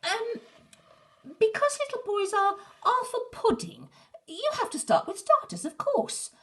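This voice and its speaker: A woman voicing a nervous but crafty little storybook boy, speaking high and hesitantly. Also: wildly swooping pitch, measured pace, fairly bright tone, heavily acted. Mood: nervous, stalling.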